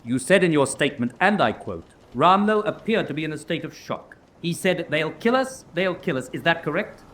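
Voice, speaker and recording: An actor playing a relentless, precise military prosecutor in a radio drama, questioning formally and pressing hard. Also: male voice, on the high side, animated, moderate pace, a bit bright. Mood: pressing, accusatory. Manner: formal, pressing cross-examination. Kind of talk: radio drama courtroom acting.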